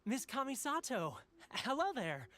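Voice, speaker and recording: A man's voice: very high-pitched, sing-song, unhurried, pushed and bright, exaggerated voice. A man voicing a chatty, deferential fortune slip vendor in a video game, welcoming and respectful.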